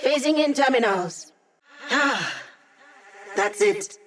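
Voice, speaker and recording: A woman's voice: fairly high pitch, lively intonation, takes it slowly, a bit bright, rough recording. An actress voicing a snarky, upbeat young female hacker who gives tech support in a video game, with playful, wry, casual quips. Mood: brisk, alert. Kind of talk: video game character voice lines.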